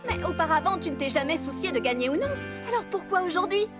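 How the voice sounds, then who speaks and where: A woman voicing an expressive, encouraging young female character in a children's cartoon. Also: very high voice, fast talker. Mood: reassuring. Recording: children's music behind the voice.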